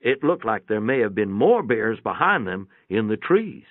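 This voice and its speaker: A man's voice: lowish voice, big pitch swings, moderate pace. A man reading a first-person short story aloud in a folksy, plainspoken storytelling manner. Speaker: narrator. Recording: boxy room echo, tinny phone-like sound.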